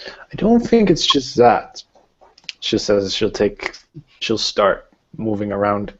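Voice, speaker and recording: A man playing a stern female warrior smith, with a terse, deliberate, questioning manner. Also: lowish voice, big pitch swings, highs cut off, exaggerated voice. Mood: blunt, suspicious.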